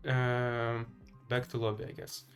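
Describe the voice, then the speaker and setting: A man's voice: medium pitch, completely flat delivery, unhurried, faint music behind. A man from Ukraine with a Ukrainian accent, giving casual live game commentary and chatting to viewers in a hesitant, thinking-aloud way. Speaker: live streamer playing chess.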